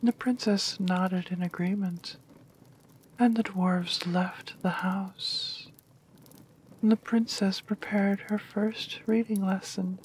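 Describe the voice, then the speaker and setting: A woman reading a fairy tale aloud in a soft whisper. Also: mid-range voice, a little hiss or hum, low-quality recording. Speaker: storyteller. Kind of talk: whispered bedtime story reading.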